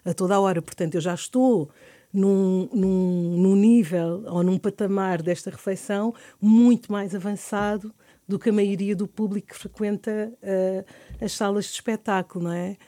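A woman's voice: medium pitch, steady tone, normal speed, mellow, no room sound. A woman, a theatre director speaking as a radio interview guest, thoughtful and hesitant, often restarting her sentences. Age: 50s. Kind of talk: radio interview, reflective talk.

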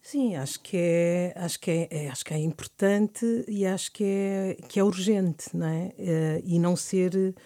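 A woman talks thoughtfully as a radio guest, pausing and circling back as she searches for words. Her voice sits low and mellow, recorded dry and close. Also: no room sound. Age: 50s. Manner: thoughtful, many restarts and hesitations. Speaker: theatre director guest. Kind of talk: radio interview, reflective talk.

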